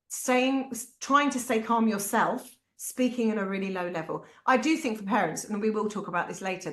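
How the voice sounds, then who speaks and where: A woman with a British accent, a parent giving candid, animated advice to other parents. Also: mid-range voice. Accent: British English. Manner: animated, acts out quoted voices. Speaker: parent speaker. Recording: echoey room.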